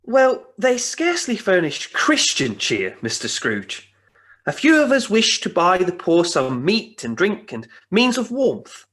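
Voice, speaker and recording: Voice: medium pitch, huge pitch swings, measured pace, a bit bright. An actor playing an earnest, courteous charity collector in a radio play, polite and slightly hesitant.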